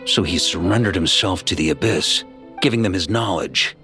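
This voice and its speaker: A man's voice: sits low, moderate pace, sharp tone, soft background music. An actor playing a seasoned, candid knight commander in a video game, thoughtful and casual in his storytelling. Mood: serious, pondering. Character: seasoned easygoing male knight commander, candid, improvising adventurer. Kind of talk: video game dialogue acting.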